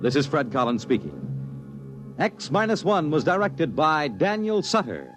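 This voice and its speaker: A man giving a formal closing announcement and cast credits for a 1950s radio show, in a measured, polished delivery. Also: a little high, big pitch swings. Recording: 1950s radio broadcast with faint music.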